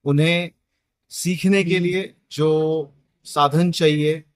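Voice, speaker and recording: A man's voice: a little high, fairly even intonation, slow pace, dry recording, clean studio sound. A man with an Indian accent giving an interview statement as an institute principal, mixing in English terms. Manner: mixes English terms into speech.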